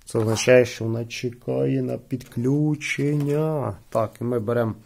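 A man giving casual, halting unboxing commentary, thinking aloud as he reviews a product. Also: medium pitch, gravelly, a little mellow.

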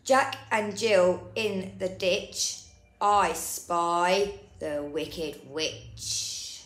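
A woman in her thirties reading a picture book aloud to young children in a deliberate, drawn-out sing-song, as a nursery storyteller. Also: fairly low pitch, fairly bright tone. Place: indoor room. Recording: roomy indoor echo.

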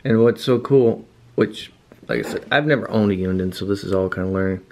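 A man's voice: lowish voice, brisk, a little mellow. A man giving a casual, unscripted product demonstration, rambling a little as he thinks aloud. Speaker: product reviewer. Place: indoor room at home.

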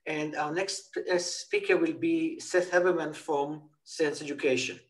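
A man's voice: a little high, steady tone, a little slow. Older man moderating a video panel. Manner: friendly, joking, with fillers. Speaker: interviewer and moderator. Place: office with a banner. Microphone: laptop video call.

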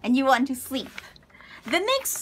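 A woman in her thirties teaching a live online language lesson from a small room, cheerful and laughing often as she reads out viewers' comments and repeats words slowly. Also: high-pitched, huge pitch swings, a little hiss or hum. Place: small room indoors, plain wall.

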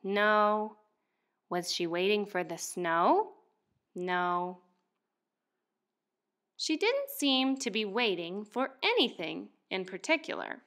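A young adult woman, a first grade teacher, speaking expressively and clearly to young children as in a picture book read-aloud. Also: mid-range voice, very animated, slow, pauses often, fairly bright tone.

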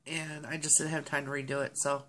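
Low-voiced woman with a nasal cold. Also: flat delivery, fairly quick, fairly bright tone. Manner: rambling, friendly, with many ums and throat clearing. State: stuffy nose from a cold. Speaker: vlogger. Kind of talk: casual chat to camera. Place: small home office, indoors. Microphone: webcam at desk.

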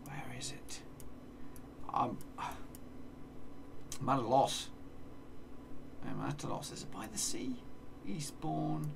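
A man's voice: mid-range voice, slow pace, vocal fry, long pauses, distant mic, background noise. A man in his thirties with an English accent, commentating on a map-guessing game as he plays, muttering place names and swearing playfully. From England. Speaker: gaming streamer. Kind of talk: casual live game commentary. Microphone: desk mic, seen in webcam corner.

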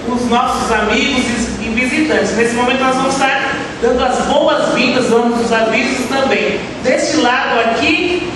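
A young Brazilian woman with a Brazilian Portuguese accent, leading a church service at a pulpit and reading scripture in an earnest, measured way. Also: high voice. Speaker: worship leader. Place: church hall, indoors. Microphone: stand mic at a pulpit, filmed from a far camera. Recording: echoey hall from far off, background hiss.

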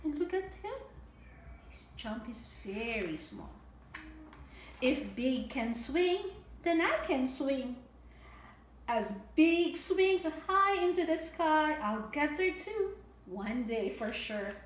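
A middle-aged woman with a very high voice reads a children's picture book aloud at an unhurried pace. She is expressive and keeps her explanations simple for little listeners. The room is echoey. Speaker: early childhood story time host. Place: indoor home room with table. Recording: echoey room with audible background noise.

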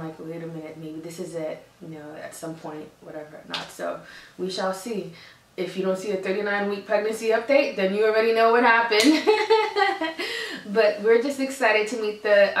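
A woman in her thirties chatting to the camera in a casual update from her home office, giggling often and correcting herself. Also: medium pitch. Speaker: vlogger. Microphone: camera across the desk. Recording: echoey room, mic a bit far.

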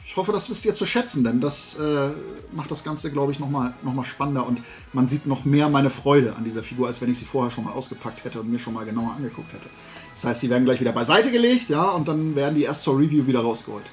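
A man's voice: medium pitch, fast talker, faint music behind. A man in his forties talking casually and enthusiastically as he presents a toy haul to viewers, rambling along conversationally. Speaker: host of a toy collecting video show. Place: small room full of toy shelves, indoors.

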